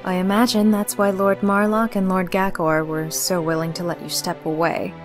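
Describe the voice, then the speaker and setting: A woman's voice: lowish voice, little pitch movement, moderate pace, faint music behind, close to an everyday voice. A woman voicing a young female necromancer from a visual novel, composed, formal and reassuring. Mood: gently reassuring. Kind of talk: acted visual novel dialogue.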